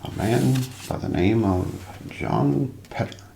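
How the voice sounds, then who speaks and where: A man narrating a scripted mystery documentary as an ASMR role play, in a hushed, deliberate, suspenseful manner. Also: mid-range voice, creaky. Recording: roomy echo, light background noise.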